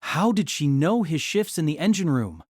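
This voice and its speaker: A narrator reading a novel aloud in an expressive storytelling manner. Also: mid-range voice, normal speed, husky, dry recording, studio quality.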